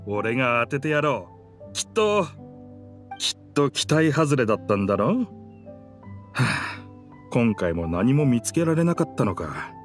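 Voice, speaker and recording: A man voicing a proud, boastful talking pirate ship in a Japanese game dub, with theatrical, rough masculine speech. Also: medium pitch, very animated, measured pace, long pauses. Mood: teasing. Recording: music under the dialogue.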